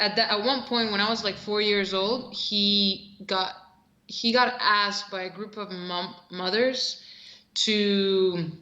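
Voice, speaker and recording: Bright-voiced Costa Rican woman telling her story. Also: mid-range voice, echoey room, muffled recording. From Costa Rica. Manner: relaxed storytelling with many fillers and restarts. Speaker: interview guest, professional soccer player. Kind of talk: casual video-call interview.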